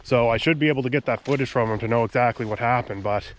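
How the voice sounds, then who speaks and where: A man's voice: mid-range voice, fast talker, a little hiss or hum, poor audio. A man from Nova Scotia, stuffed up from a cold, chatting casually to the camera outdoors at a tidal marsh and often trailing off with "so" and "um". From Nova Scotia, Canada. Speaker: hunting vlogger, channel host. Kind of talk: casual outdoor vlog narration.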